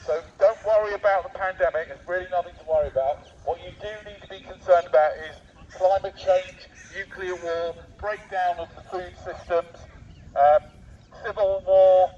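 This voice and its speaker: A British man giving a mock-serious, provocative public speech through a megaphone in a deadpan manner, addressing passers-by directly. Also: high voice, moderate pace. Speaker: street speaker with megaphone. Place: outdoor public park. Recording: dull megaphone outdoors, distant, faint noise.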